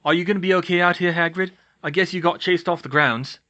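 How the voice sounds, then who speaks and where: A man voicing a daring, brash young male wizard in a storybook, with a brisk and casual manner. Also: high voice, pushed and bright, lo-fi sound, voice pushed up. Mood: casual concern.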